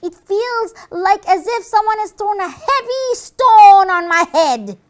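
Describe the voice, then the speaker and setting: A woman's voice: very high-pitched, lively intonation, takes it slowly, voice pushed up, full character voice. A woman voicing a cocky, boastful little evil minion in a children's puppet show, with a theatrical, exasperated manner. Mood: dramatic complaining.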